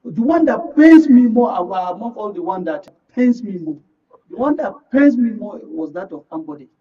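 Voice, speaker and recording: A man's voice: high voice, animated, moderate pace, husky. A Nigerian man speaking as a guest political commentator in a live discussion, emphatic and repeating phrases to make his point. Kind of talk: live current affairs discussion. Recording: muffled, distant microphone.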